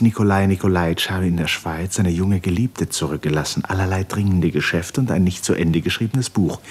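Man, radio drama narrator, deep voice, rough breathy tone, scripted literary storytelling.

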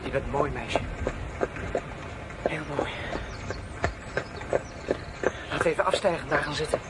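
A man's voice: a little high, brisk, heavy background noise, close to an everyday voice. Een man als tedere jonge ruiter, vrij hoge stem. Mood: tender. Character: young male horseman, tender, courting, mind-reading traveller. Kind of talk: Dutch radio drama acting.

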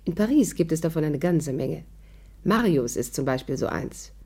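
A woman's voice: deep voice, animated, normal speed, mellow, husky, full character voice. An actress playing a witty, spirited, worldly woman in a 1950s radio drama, wry and articulate as she narrates and talks. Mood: lively storytelling.

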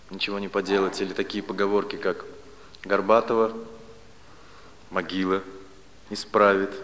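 A man in his late thirties giving a spiritual philosophy lecture, speaking deliberately in short, didactic phrases with pauses and repetition. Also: on the low side. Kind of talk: lecture on Vedic philosophy to a live audience. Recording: reverberant hall, loud steady background noise.

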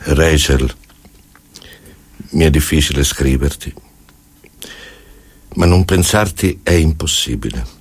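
A very deep, husky worried father. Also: slow, pauses often, exaggerated voice. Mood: worried pride. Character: proud, worried middle-aged father writing to his daughter. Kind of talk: dramatic reading of a letter in radio theatre.

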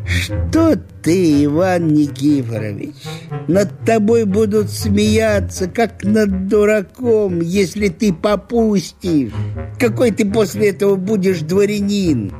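A man's voice: high voice, lively intonation, takes it slowly, dark tone, soft background music, voice pushed up. A man voicing a bossy, scornful provincial woman in a radio story, nagging and scolding insistently. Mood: scolding, goading. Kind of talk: dramatised literary reading for radio.

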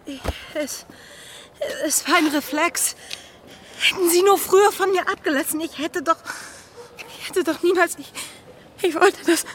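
Determined young gentlewoman, period radio drama: formal, earnest, over background music. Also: very high-pitched, measured pace, slight background noise. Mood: flustered. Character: headstrong, determined young gentlewoman planning elopement. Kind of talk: German radio drama acting.

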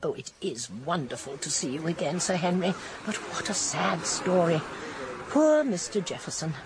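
An actress playing a shrewd, genteel elderly English spinster sleuth in a British radio drama, speaking precisely. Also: female voice, lowish voice, animated, normal speed, background noise, natural voice. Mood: sympathetic. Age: older.

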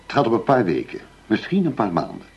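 Low, gravelly, persuasive police chief. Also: male voice, wildly swooping pitch, fast talker, soft background music. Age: middle-aged. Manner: persuasive, matter-of-fact. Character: smooth, persuasive police chief going undercover, coolly determined husband. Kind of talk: Dutch radio play acting. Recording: dull lo-fi radio play recording.

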